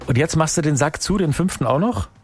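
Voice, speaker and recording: A man's voice: a little high, expressive, brisk. A man hosting a radio phone-in quiz, chatting in an upbeat, exclamatory way. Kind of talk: lively radio phone-in chat. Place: radio studio.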